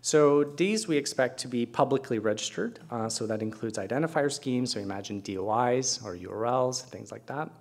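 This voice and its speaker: A man's voice: medium pitch, expressive, normal speed, vocal fry, hardly any pauses. A middle-aged man speaking as a keynote presenter at an academic conference, explaining things with conversational asides. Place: lecture hall at a podium, indoors.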